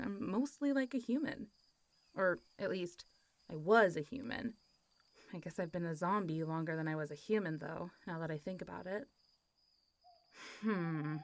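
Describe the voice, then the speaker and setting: A woman playing a friendly, playful centuries-old zombie girl in a roleplay audio, chatting and teasing as if to one listener. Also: mid-range voice. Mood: hesitant, playful. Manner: chatty, teasing, frequent giggles and sighs, hesitant asides. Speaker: voice actor.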